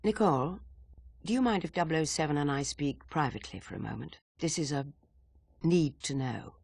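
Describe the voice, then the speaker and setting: M, a husky, stern spymaster. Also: female voice, low voice, lively intonation, a little mellow, no echo, clean studio sound, full character voice. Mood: grave. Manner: brisk, formal, matter-of-fact. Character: stern, authoritative female spymaster. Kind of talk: video game cutscene acting.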